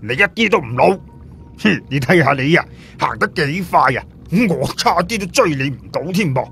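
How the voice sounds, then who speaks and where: Guy doing a loud hearty mate from a storybook, pitched up, teasing and cheering someone on. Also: moderate pace, gravelly, a little hiss or hum. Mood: hearty, encouraging. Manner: loud, emphatic, teasing flattery. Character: hearty male friend cheering up an old man. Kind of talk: storybook character voice.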